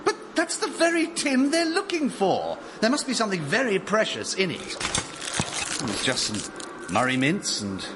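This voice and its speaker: Radio sitcom sidekick: fretful, earnest, fairly high and very lively, over background noise. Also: male voice, measured pace, exaggerated voice. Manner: worried, explanatory. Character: anxious, earnest male sidekick and old friend.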